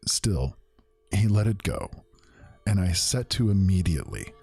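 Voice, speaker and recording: A man with an extremely deep, rough, raspy voice narrates a fantasy tale in the first person, calm and measured. Faint music plays underneath his words. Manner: measured, reflective storytelling. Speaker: storyteller.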